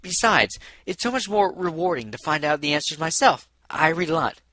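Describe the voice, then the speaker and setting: A nervous young female unicorn from a storybook, acted out by a man. The voice is pitched up high, bright and a bit husky, hesitant but earnest in a bookish way. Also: animated, a little fast. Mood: earnest, curious.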